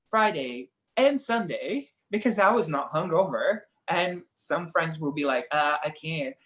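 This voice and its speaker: A man's voice: high-pitched, a bit bright, roomy sound. Earnest Brazilian man, reflective talk. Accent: Brazilian Portuguese. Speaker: guest. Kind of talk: casual conversation.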